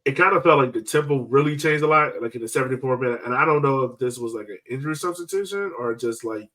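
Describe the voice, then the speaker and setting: A man's voice: medium pitch, fast, sharp tone. A man co-hosting a podcast in casual sports chat, speaking in a loose, informal way full of filler words. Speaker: podcast co-host. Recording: distant from the microphone.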